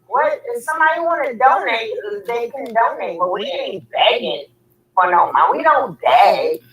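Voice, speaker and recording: Hoarse, raspy-voiced woman host. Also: on the high side, expressive, moderate pace, fairly bright tone, rough recording. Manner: laughs often, repeats words and phrases. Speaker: livestream host. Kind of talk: casual livestream chat.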